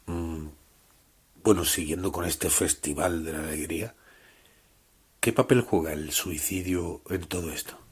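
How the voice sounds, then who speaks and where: Male interviewer, scripted audiobook: very low, rough-edged voice, dry ironic delivery. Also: unhurried, pauses often.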